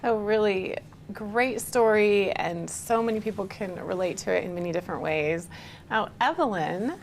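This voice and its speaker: A woman's voice: mid-range voice, moderate pace, a little hiss or hum. Enthusiastic woman hosting a studio interview. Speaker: TV interview host.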